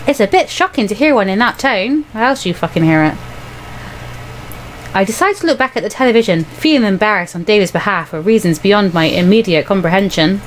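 A woman's voice: medium pitch, expressive, brisk, slight background noise, poor audio. A young adult woman hosting a gaming video, with casual commentary and reading aloud.

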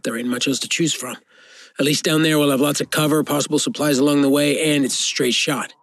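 An actor playing a terse, bossy soldier who guides survivors in an audio drama. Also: male voice, on the high side, steady tone, brisk, fairly bright tone. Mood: focused. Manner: clipped, bossy orders. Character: terse, commanding male soldier guiding survivors.

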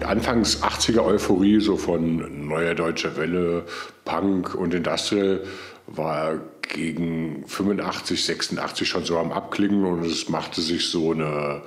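Male interviewee, middle-aged, low register, conversational recollection. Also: a little slow. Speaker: interviewee, eyewitness.

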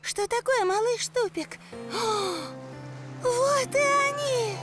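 Тоненький голосок заботливой кошечки. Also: female voice, very high-pitched, slow. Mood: tender, worried. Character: small caring young girl kitten, earnest. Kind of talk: children's cartoon acting. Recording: music under the voice.

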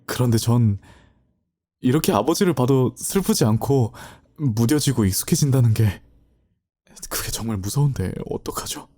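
A dutiful young male student acted by a man in an audio drama. His voice is mid-pitched and dark-soft, with polite, slightly hesitant phrasing that sounds burdened yet resolute. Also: expressive, normal speed, lightly acted. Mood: sorrowful, restrained. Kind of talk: Korean audio drama acting.

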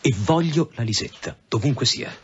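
Radio drama lead: stubborn, terse male police commissioner played by a man, expressive intonation, dull noisy sound. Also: medium pitch, moderate pace, lightly acted. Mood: brisk, commanding. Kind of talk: naturalistic radio drama acting.

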